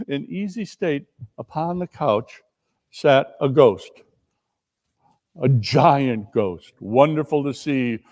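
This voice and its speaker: A man's voice: medium pitch, expressive, slow pace, pauses often, a little mellow, long reverb tail. A man narrating a classic tale as a dramatic reader.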